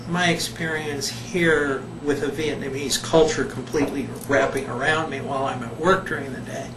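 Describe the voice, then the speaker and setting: An older man, a retired psychologist, answering interview questions slowly and thoughtfully, pausing often. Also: medium pitch, fairly even intonation. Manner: slow, thoughtful, with many pauses and fillers. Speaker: retired behavior psychologist, volunteer. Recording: echoey room, mic at a distance, background noise.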